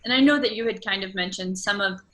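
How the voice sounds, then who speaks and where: A woman's voice: medium pitch, fast talker, a bit bright. A woman interviewer talking in a casual, conversational way, with tentative phrasing and enthusiastic affirmations. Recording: noticeable background noise.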